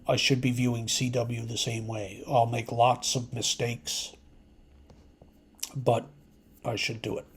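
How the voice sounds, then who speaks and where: A man who hosts an amateur radio channel, talking to his subscribers in a casual, unscripted, halting and reflective way that rambles. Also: medium pitch. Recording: clear steady background noise.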